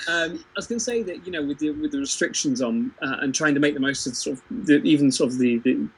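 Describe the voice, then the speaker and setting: Husky-voiced man chatting. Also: a little high, quick pace, rough recording. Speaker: theatre company performer on a livestream panel. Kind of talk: casual unscripted panel discussion.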